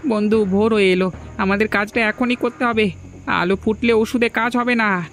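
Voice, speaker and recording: Man voicing a high, creaky, timid villager. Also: steady tone, normal speed, fairly bright tone. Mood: earnest. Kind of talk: dramatic dubbed cartoon dialogue.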